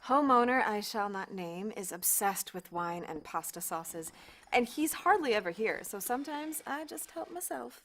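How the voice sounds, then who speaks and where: An actress playing a warm, teasing woman doctor in a naturalistic audio drama, talking casually and telling stories with animation. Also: mid-range voice, expressive, moderate pace. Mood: easygoing, frank. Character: warm, teasing woman doctor, old flame, sheltering fugitives.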